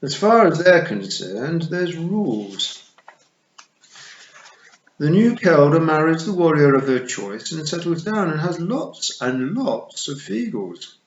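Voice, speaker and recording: A man voicing a dry, unhurried male talking toad with a drawling, sardonic manner. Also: on the high side, big pitch swings, pitched above the performer's own voice, exaggerated voice. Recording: echoey, slightly dull.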